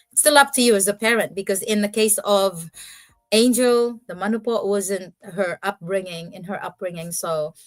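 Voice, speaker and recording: A middle-aged woman from the Philippines, a native Ilonggo speaker, hosting a podcast and giving earnest advice with some hesitant fillers. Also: medium pitch. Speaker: podcast host. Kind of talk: casual podcast chat and closing remarks.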